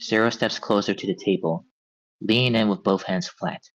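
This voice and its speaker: A man's voice: lowish voice, fairly even intonation, normal speed. A man narrating stage directions from a script at a table read. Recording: dull, lo-fi audio.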